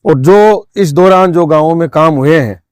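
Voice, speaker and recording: A man's voice: fairly high pitch, moderate pace, clear, resonant tone, no room sound, studio quality. A man giving an insistent interview statement as a local political figure, point by point.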